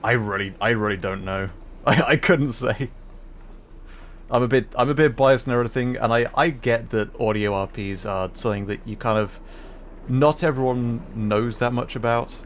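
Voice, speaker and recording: A man's voice: medium pitch, normal speed. Casual male streamer over noisy game audio. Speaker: livestreamer.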